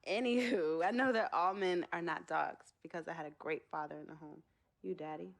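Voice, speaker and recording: A woman's voice: on the low side, steady tone, fairly quick, vocal fry. A woman playing a playful, loyal young American sister in game dialogue, speaking in a slangy, teasing way. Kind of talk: naturalistic game cutscene dialogue.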